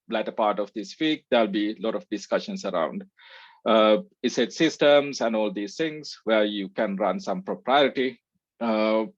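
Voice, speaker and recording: A man's voice: medium pitch, no echo, muffled recording. A man from Sri Lanka, a research scientist giving a conference talk, with many "uh" fillers. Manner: frequent "uh" fillers, relaxed. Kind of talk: conference presentation.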